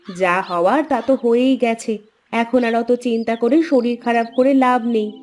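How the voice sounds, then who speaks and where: A woman playing a practical village housewife in a cartoon tale, encouraging and earnest. Her voice is fairly high and coaxing. There is music in the background. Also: moderate pace, darkish tone, close to an everyday voice. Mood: consoling. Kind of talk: cartoon moral story dialogue.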